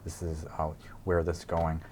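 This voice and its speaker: A man's voice: extremely low pitch, monotone, normal speed, darkish tone, slight background noise. A man co-hosting a studio interview, making observations and putting questions to guests. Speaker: co-host interviewer.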